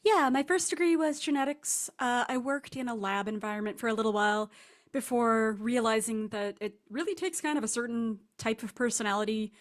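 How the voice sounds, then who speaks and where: A woman's voice: on the high side, brisk. A woman speaking as an author guest in an interview, answering in a casual, reflective way.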